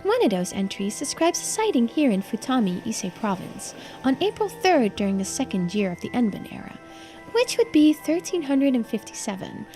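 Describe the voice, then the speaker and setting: A woman voicing a polite, studious local guide in a video game, explaining things in a measured, formal way. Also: on the high side, sing-song, dark tone. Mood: earnest, scholarly. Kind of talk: game character dialogue read aloud. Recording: music behind the voice.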